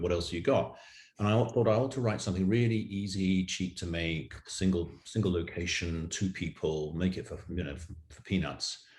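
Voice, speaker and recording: Deep-voiced middle-aged man in an echoey room. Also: slight background noise. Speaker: writer and actor, interview guest. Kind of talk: reflective anecdote in a panel interview. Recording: roomy, echoing sound.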